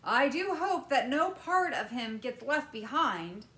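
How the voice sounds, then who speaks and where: Woman voicing an eccentric male candy maker, fussy, exclamatory, lively pitch, roomy echo. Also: exaggerated voice. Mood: worried. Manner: fussy, exclamatory, dramatic pauses.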